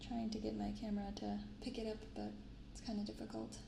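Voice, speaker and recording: Soft gentle asmr lady, bit of vocal fry, kinda roomy with some background noise. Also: medium pitch, little pitch movement. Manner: gentle, unhurried reading and commentary. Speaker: card unboxing video host. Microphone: binaural microphone close up.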